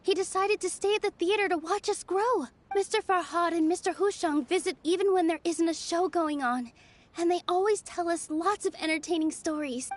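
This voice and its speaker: A woman voicing a gentle young female dancer in a game, speaking in a soft, earnest way. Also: very high voice, a little hiss or hum.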